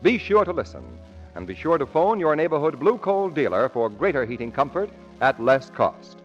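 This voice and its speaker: A muffled old broadcast with faint music carries a male announcer. His fairly high voice rises and falls emphatically in formal 1940s radio fashion. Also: sing-song, normal speed. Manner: emphatic, formal sales delivery.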